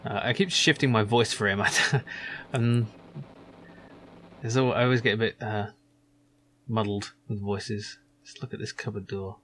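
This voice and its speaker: A man's voice: on the low side, fairly even intonation, unhurried, pauses often, a bit bright. A man giving casual, amused, self-deprecating commentary while playing a game. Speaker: let's play commentator.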